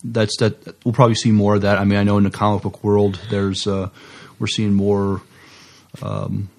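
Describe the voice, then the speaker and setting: A man with a slightly low voice talks casually as a podcast co-host. His delivery is halting and hesitant, feeling its way through each sentence. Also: monotone.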